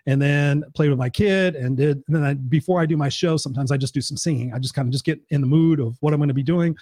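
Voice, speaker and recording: Male live-stream host and life coach, hoarse, pitched a bit high, profane and rambling, dry sound. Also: quick pace, warm tone, no room sound. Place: home.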